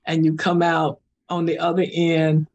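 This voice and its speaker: A middle-aged woman, telling stories as a meditation guide in an interview, and she laughs often and slips into high-pitched voices when she quotes someone. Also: completely flat delivery, unhurried, darkish tone, highs cut off. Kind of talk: animated conversational storytelling.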